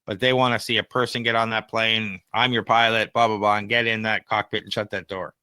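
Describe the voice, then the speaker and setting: Guy on a podcast chatting his opinions, bright crisp voice, really dry close sound. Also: on the low side, level tone, brisk, no echo. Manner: conversational, explains with examples and acted-out asides. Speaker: podcast co-host and AI consultant. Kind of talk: casual opinionated podcast discussion.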